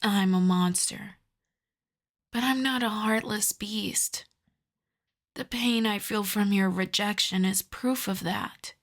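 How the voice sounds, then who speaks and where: Woman as a slow, hushed, lovesick vampire. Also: mid-range voice. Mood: wounded, menacing. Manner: slow, hushed, often slipping into whispers. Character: lovesick female vampire, sorrowful, pleading, possessive, tenderly menacing. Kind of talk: intimate ASMR roleplay.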